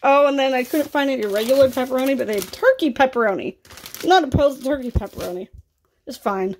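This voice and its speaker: A woman in her thirties with a scratchy, raspy voice and vocal fry, chatting casually through a grocery haul in her kitchen. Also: sits high, expressive, fast. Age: 30s. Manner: chatty and offhand, rising into exclaimed asides. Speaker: vlogger. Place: home kitchen, indoors.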